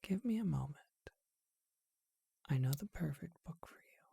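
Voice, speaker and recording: A performer voicing a charming, courteous, bookish vampire shopkeeper in a breathy, husky whisper, with genteel and hesitant phrasing, for an ASMR roleplay. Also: mid-range voice, lively intonation, normal speed, warm tone, heavily acted. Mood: coaxing, courteous. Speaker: bookshop owner.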